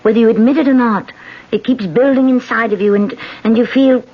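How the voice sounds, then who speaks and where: An actress playing a troubled politician hiding a dark secret in a 1970s radio drama, speaking in a guarded way. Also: female voice, on the high side, brisk, close to an everyday voice. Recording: dull old radio broadcast with music.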